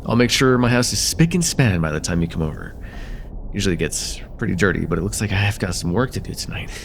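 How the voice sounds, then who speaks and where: Man acting an affectionate, lively-toned college guy. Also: deep voice, fast talker, a little hiss or hum. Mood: easygoing, caring. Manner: casual, soft-hearted, hesitant, chuckling banter. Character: affectionate, teasing young male chemistry student with a crush. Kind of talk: slice-of-life ASMR roleplay, intimate one-sided dialogue.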